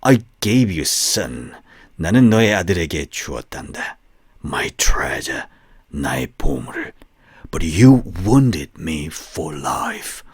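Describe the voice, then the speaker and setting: A man acts out a vengeful storybook snake in a low, husky murmur. The wounded creature speaks very slowly, with grave, bitter reproach, in a dry close sound. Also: no room sound, exaggerated voice.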